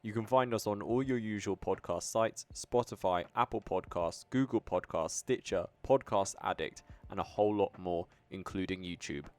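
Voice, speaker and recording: A man with a low-pitched voice hosts a podcast. He talks in a conversational interviewing style, addressing guests and listeners directly. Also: moderate pace, hardly any pauses, a little mellow, dry recording. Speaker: podcast host. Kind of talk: conversational interviewing and show promotion.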